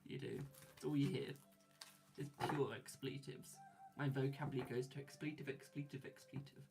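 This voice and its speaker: Young male tarot reader live-streaming: chatty, quick to laugh, mid-range voice, music underneath. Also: medium pitch, steady tone. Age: 20s. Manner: chatty, reads viewer comments aloud, laughs often. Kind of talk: live stream chat with viewers.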